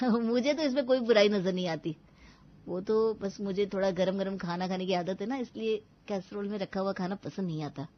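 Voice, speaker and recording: A woman's voice: mid-range voice, moderate pace, dull audio, natural voice. A woman voicing a sly, backpedalling younger husband in a cartoon family story, evasive and placating. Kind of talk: animated family story acting.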